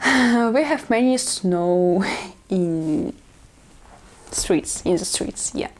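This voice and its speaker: A woman's voice: mid-range voice, expressive, darkish tone, a little hiss or hum. Teen girl with a Russian accent. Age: teens. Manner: halting, searching for words in English. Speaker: vlogger. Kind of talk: casual vlog chat. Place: bedroom at home.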